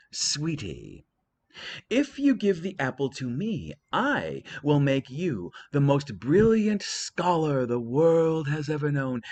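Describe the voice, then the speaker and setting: A man putting on a clever goddess of wisdom in a storybook myth. She sweet-talks in a measured way, with the voice a little raised and lively. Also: mid-range voice, voice pushed up, heavily acted.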